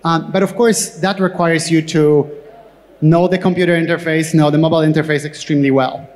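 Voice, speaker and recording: Higher-pitched man speaking in an echoey hall. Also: steady tone, darkish tone. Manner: halting, repeats words as he explains. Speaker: tech company co-founder, panel guest. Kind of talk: on-stage interview answers. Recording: echoey hall with long reverb.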